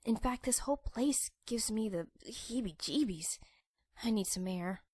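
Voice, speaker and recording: A woman's voice: fairly high pitch, expressive, a little slow, darkish tone, husky, no echo. A woman voicing a wary, creeped-out female pony in a cartoon comic dub. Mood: uneasy, wary.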